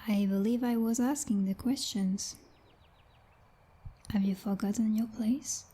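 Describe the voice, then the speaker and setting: A very breathy, whispering female vampire knight, played by a woman in an ASMR roleplay. She is possessive and coldly domineering, speaking slowly with formal, teasing condescension. Her tone is mellow and dark, with a quiet menace. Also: medium pitch, fairly even intonation. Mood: jealous, condescending.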